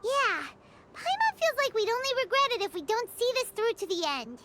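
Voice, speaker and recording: A woman's voice: extremely high pitch, sing-song, moderate pace, very noisy. A woman voicing a small floating fairy companion who speaks of herself in the third person. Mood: resolute.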